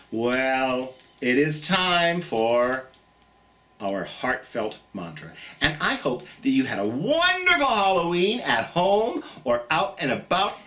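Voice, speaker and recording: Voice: mid-range voice, bright, forceful tone, far from the mic. A children's storytime host with a theatrical, singsong manner, speaking encouragingly and directly to young listeners.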